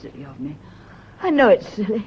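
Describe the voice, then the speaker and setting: A woman's voice: medium pitch, sing-song, brisk. An actress playing an anxious, guilt-haunted woman with a British accent, nervous and brooding in a radio drama. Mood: brooding, fearful. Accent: British English. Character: anxious, guilt-haunted woman, former live-in servant or companion. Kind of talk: British stage-style radio drama acting. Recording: dull, hissy old radio recording.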